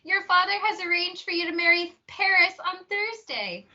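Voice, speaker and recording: A young woman as very animated, high-voiced noble mother. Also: moderate pace, highs cut off, heavily acted. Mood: insistent. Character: Lady Capulet, insistent, dramatic noble mother. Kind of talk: stage-play reading.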